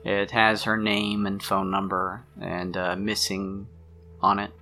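Male game master, low, gravelly, forceful voice, narrating an improvised tabletop game over music. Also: monotone, slow pace.

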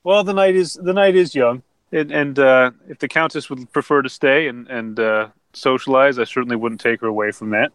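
Man playing a smug charming professor, smooth clear voice, a bit higher than his own, dry close sound, tabletop game. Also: normal speed, a bit bright, no room sound, natural voice.

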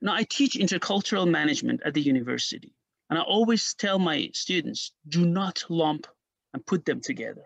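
A man's voice: fairly quick, creaky, no echo, highs cut off. A middle-aged man, a native Persian speaker from Iran, explaining history at length in an interview with emphatic, restarting speech. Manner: emphatic, restarts often, animated pitch jumps. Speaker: historian guest.

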